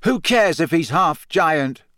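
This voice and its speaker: A man voicing an angry, loyal teenage boy with loud, furious retorts in an audiobook. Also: fairly high pitch, expressive, slow pace, dry recording, studio quality, voice pushed up.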